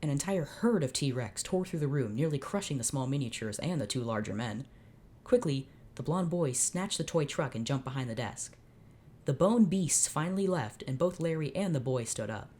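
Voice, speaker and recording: A woman's voice: very deep voice, lively intonation, moderate pace, warm tone. A young woman reading a fanfiction story aloud, doing character voices and breaking off into exasperated asides. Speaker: fanfiction reader. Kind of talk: dramatic fanfic reading with reactions.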